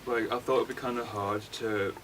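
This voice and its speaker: A young man, a college student giving an informal class presentation in a flat, hesitant way. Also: fairly low pitch, normal speed. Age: young adult. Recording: distant mic, faint room noise.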